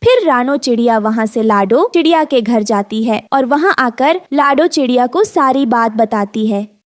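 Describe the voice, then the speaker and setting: A woman's voice: fairly high pitch, lively intonation, normal speed, dry recording, studio quality. A woman reading scripted narration for a children's story.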